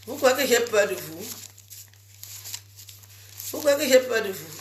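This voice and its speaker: A woman's voice: fairly high pitch, lively intonation, unhurried, roomy sound, slight background noise. A woman vlogging casually to her fans, chatty and teasing, scolding her viewers with a cold. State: has a cold.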